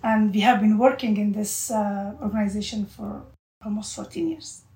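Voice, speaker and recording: A middle-aged woman from Afghanistan, a guest speaking earnestly and haltingly in an interview. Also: medium pitch, warm tone. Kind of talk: unscripted interview answers. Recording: echoey room.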